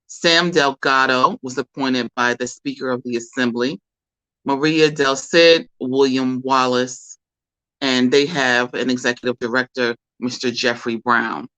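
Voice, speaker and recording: An American host with a slightly raspy voice, a bit under the weather, speaking informally and deliberately in a solo livestream commentary. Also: mid-range voice, sharp tone, poor audio. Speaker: host of a community talk show.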